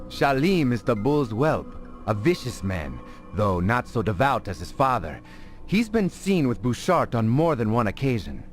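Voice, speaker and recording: An actor playing a friendly, conspiratorial resistance informant in a video game. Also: male voice, mid-range voice, sing-song, a little slow, faint music behind. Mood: confiding. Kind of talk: dramatic video game acting.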